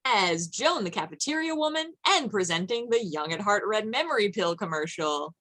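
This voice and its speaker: A woman's voice: mid-range voice, expressive, normal speed, a bit bright. A woman hosting an online event in an effusive, upbeat way. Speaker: event host. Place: online video call.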